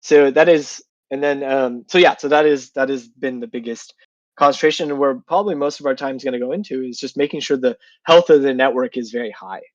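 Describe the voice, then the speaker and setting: A man's voice: mid-range voice, a little fast, no echo, muffled recording. A man with a sinus infection, sounding nasal and congested, answering questions in a casual online interview and thinking aloud with many fillers. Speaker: guest developer.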